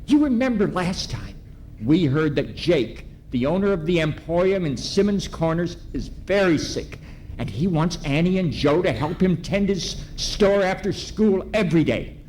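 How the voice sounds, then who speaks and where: A man's voice: high-pitched, sing-song, normal speed, a little mellow. A man playing an eager announcer of a 1930s children's radio serial, coaxing young listeners in scripted sponsor style, live on stage. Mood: storytelling. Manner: exclamatory, coaxing, addresses children directly. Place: live stage reenactment in hotel ballroom. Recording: live ballroom recording with background noise.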